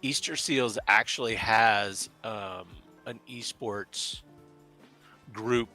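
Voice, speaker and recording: A man's voice: fairly low pitch, very slow, over music. A man from Dallas, a business owner, talking as a guest in a casual interview and hesitating often as he talks. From Dallas, Texas. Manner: hesitant, many fillers and restarts.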